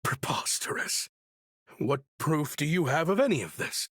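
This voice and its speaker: A man's voice: on the high side, expressive, measured pace, a bit bright, dry recording, whispered, clean studio sound. An actor playing a guilty man caught stealing, who talks in a theatrical, pleading, hesitant way in a video game. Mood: indignant disbelief.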